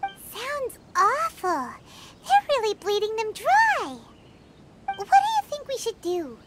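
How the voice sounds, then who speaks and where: A woman voicing a small, spirited childlike fairy companion in a video game, with a squeaky voice. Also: huge pitch swings, slow pace, very noisy, full character voice. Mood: concerned, pondering. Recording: loud music behind the voice.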